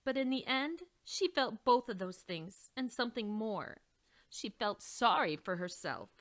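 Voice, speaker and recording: Woman, storybook read-aloud, slow, expressive, bright, lively pitch. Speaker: read-along reader.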